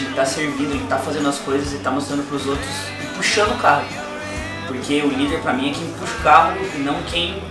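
A man, giving an earnest personal testimonial to camera as a barbershop owner, repeating phrases for emphasis. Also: fairly high pitch. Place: indoors, small barbershop. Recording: roomy, distant mic, clear background music. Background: music.

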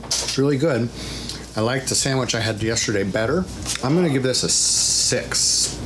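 A middle-aged man in his home kitchen giving a casual food review, chatty and relaxed with lots of ums. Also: medium pitch, moderate pace. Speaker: vlog host. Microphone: fixed camera mic at mid distance. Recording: noisy home recording.

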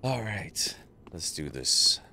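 Man, very deep voice, gravelly, lively pitch, stuffy nose, casual gaming stream chat, boom mic, faint hiss. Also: takes it slowly. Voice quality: stuffy from allergies. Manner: greets chat viewers, relaxed banter. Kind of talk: casual live gameplay chat.

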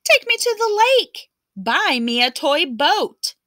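A woman's voice: very animated, slow, sharp tone, no echo, clean studio sound, heavily acted. A woman voicing a bossy, demanding little girl in a storybook read-aloud. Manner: shrill and demanding.

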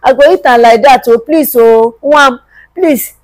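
A woman's voice: high-pitched, dry recording. A woman acting a hungry, long-suffering mother in a film drama, pleading repetitively and plaintively with a Nigerian accent. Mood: desperate begging. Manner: plaintive, repetitive begging with drawn-out wails.

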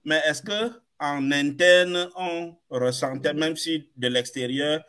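A man hosting a live interview over a headset, asking long, lively questions. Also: on the high side, slow pace. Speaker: host.

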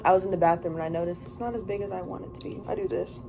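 A woman's voice: on the low side, flat delivery, very fast. A young woman with an American accent chatting casually for a vlog in a hotel room. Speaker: vlogger. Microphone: camera or phone at arm's length. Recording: clearly noisy room sound.

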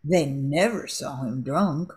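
A woman's voice: deep voice, slow pace. An older woman reading scripted narration for an amateur radio drama.

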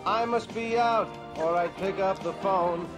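A man's voice: sits high, measured pace. An American actor playing a man who croons his own jaunty answering-machine greeting. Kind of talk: sung answering-machine message. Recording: loud music under the voice.

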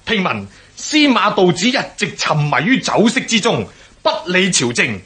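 An actor playing a boastful, bloodthirsty rebel warlord in a Cantonese historical radio drama, declaiming and commanding with a Hong Kong accent. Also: male voice, high-pitched, very animated, normal speed, fairly bright tone. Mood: scornful, plotting. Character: boastful, bloodthirsty male rebel warlord rallying his followers.

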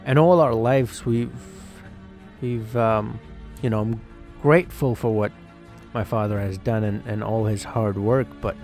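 A man playing an excitable, earnest young schoolboy cricketer who tells his story conversationally, with emphatic pauses, in a dramatised game story. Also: fairly low pitch, faint music behind. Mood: earnest, reflective. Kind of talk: first-person dramatised narration over game cutscenes.

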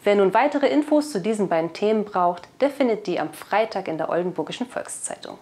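A woman's voice: mid-range voice, lively intonation, fairly quick, background noise. A woman in her thirties with a German accent presents scripted local news as the host of a weekly video bulletin. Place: indoor video studio. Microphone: lapel mic.

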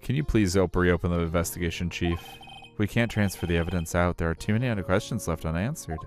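Man playing an earnest, low-voiced detective. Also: fast, soft background music, natural voice. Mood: pleading. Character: earnest, troubled male police detective.

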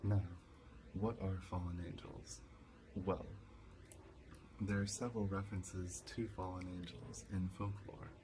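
Low, monotone professor played by a young man. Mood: calm, instructive. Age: young adult. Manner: measured, didactic, with rhetorical questions. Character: calm, measured college professor giving a lecture. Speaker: roleplay performer as lecturer. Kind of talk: soft-spoken ASMR lecture roleplay. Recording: clearly noisy, hissy home recording.

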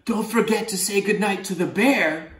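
A man voicing a cheeky prankster octopus from a storybook, with drawn-out exclamations. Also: sits high, a bit bright, roomy sound, pitched above the performer's own voice. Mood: playful reminding. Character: cheeky prankster octopus buddy, high-voiced.

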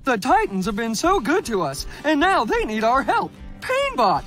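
A woman's voice: high voice, huge pitch swings, normal speed, over loud music. A woman voicing an upbeat, hip little robot bird sidekick in a cartoon, talking in playful slang. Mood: earnest, excited.

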